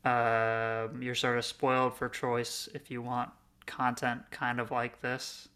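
A man's voice: mid-range voice, completely flat delivery, slow pace, pushed and bright. A man in his early thirties co-hosting a podcast in a rambling, self-deprecating, casual way. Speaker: podcast co-host.

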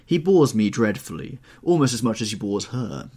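A man voicing a cynical aristocratic gentleman in an audiobook, drawling out languid epigrams. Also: on the low side, sing-song, normal speed, breathy edge, voice pushed up, full character voice. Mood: wry.

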